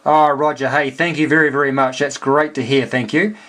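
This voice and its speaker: Halting New Zealand man, noisy recording. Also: medium pitch, fairly even intonation, fairly bright tone. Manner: rambling, halting, thinking aloud. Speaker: amateur radio operator and homebrew electronics hobbyist. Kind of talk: casual unscripted technical walkthrough.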